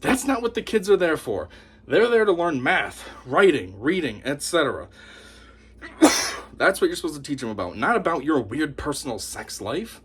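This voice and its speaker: A man giving an opinionated monologue to camera as a commentator, talking in a scornful, animated way. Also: a little high, normal speed, a little hiss or hum. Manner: animated, scornful, mocks opponents in put-on voices. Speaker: YouTube commentator.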